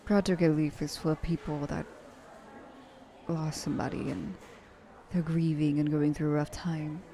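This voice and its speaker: Female actor as immortal rich vampiress, ASMR roleplay. Soft-edged mellow tone, poised, teasing, world-weary and possessive. Slightly noisy recording. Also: sits low, little pitch movement, a little slow. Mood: calm, reflective.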